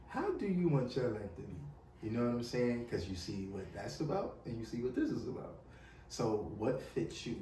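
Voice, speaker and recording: A man's voice: on the low side, slight background noise. Laid-back New York man, roomy distant sound. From New York City. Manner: relaxed, rambling, peppered with "you know what I'm saying". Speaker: music artist guest. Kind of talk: casual interview. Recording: roomy, distant mic with faint ambience.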